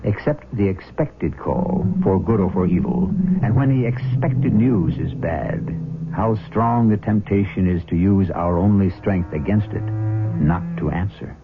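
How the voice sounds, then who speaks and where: A man hosting and narrating a 1970s radio mystery drama with dramatic, ominous, deliberate phrasing. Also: sits low, animated, heavy background noise. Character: grave male storyteller introducing a mystery. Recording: thin phone-like old radio broadcast, music behind. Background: music.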